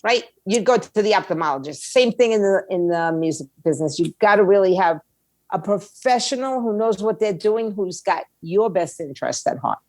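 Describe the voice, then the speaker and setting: Female interview guest, mid-pitched, blunt and emphatic, conversational, recorded dry. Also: expressive, moderate pace, a little mellow, dry recording. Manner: blunt, opinionated. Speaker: guest, music label owner and attorney.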